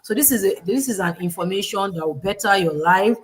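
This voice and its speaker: Nigerian woman, livestream host, preachy motivational delivery, repeats her points, mid-pitched. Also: a little fast. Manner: preachy, repeats key phrases, quotes scripture. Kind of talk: livestream panel talk, motivational.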